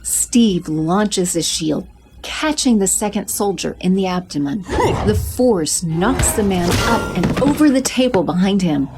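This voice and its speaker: A woman narrating an audio drama in a steady, descriptive way. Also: medium pitch, measured pace. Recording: music bed under narration.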